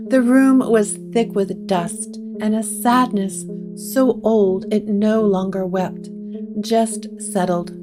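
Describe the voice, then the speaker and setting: A woman narrating a scripted gothic story with deliberate, dramatic pauses. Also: medium pitch. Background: plucked guitar music.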